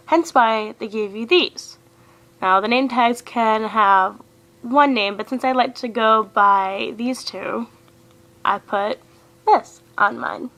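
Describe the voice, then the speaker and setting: Bright, high-voiced young woman. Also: poor audio. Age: young adult. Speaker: vlogger giving advice. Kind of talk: upbeat scripted advice to camera.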